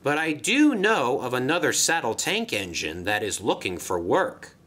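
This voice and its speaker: Casting: male reader as courteous, dry-humored little engine for a railway storybook, bright and animated. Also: mid-range voice, normal speed. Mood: polite, wry. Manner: polite, wry. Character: hardworking, modest, dry-humored saddle tank engine.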